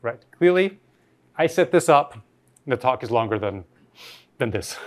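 A man's voice: medium pitch, lively intonation, a little slow. A man from Austria, giving an academic lecture in a thoughtful way, often rephrasing mid-sentence and putting questions to his audience.

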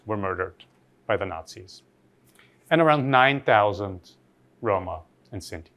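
Animated, thoughtful male lecturer from Austria. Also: mid-range voice. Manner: thoughtful, halting with frequent rephrasing. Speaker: academic lecturer. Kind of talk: reflective academic lecture, spoken off the cuff.

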